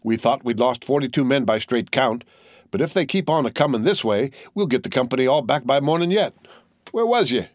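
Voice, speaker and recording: A man voicing a gruff army corporal who talks bluntly in a folksy country dialect. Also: mid-range voice, animated, a little fast, dry recording, studio quality, pitched above the performer's own voice, close to an everyday voice.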